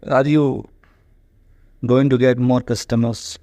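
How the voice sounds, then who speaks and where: A man hosts an interview with one of his students, an English teacher putting plain questions one after another. His voice is mid-pitched and his delivery measured. The sound is dry and close. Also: little pitch movement, dark tone, no echo.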